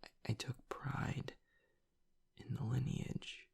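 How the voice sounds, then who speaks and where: A man playing a grieving young werewolf boyfriend, tough but vulnerable, choked up and halting as he confides in an intimate audio roleplay monologue. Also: a little mellow, lightly acted. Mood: reverent, sorrowful. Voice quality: breathy, gravelly near-whisper. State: choked up with grief.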